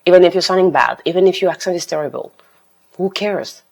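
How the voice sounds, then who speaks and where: A woman's voice: on the low side, quick pace, gravelly, darkish tone. French-accented woman giving language tips. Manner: switches between English, French and Italian, emphatic and encouraging. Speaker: language-learning YouTuber. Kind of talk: casual talking-head advice.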